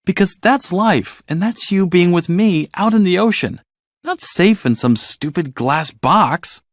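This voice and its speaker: Storybook fish heroine, small, plucky and emphatic, played by a man; raised pitch, lively swings, dry close sound. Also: a little slow, no echo. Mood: earnest. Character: forgetful but determined little blue tang fish, female.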